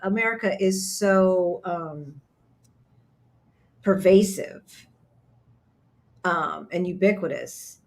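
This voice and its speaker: A woman's voice: on the low side, slow, pauses often. A woman from Southern California hosting a casual interview, chatty and agreeable, often checking in with "right?". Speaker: host.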